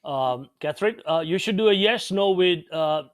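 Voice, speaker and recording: A man's voice: high-pitched, lively intonation, normal speed. A man co-hosting an online panel, blunt and opinionated, with a teasing edge, on a video call. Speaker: co-host. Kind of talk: casual online panel chat.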